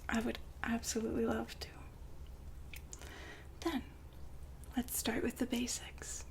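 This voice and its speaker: A woman playing the poised, businesslike madam of a futuristic establishment in an ASMR roleplay, whispering softly and formally to a client. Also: on the high side, little pitch movement. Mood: smooth, attentive. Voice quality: soft, breathy whisper. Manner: polite, formal, unhurried saleswoman patter.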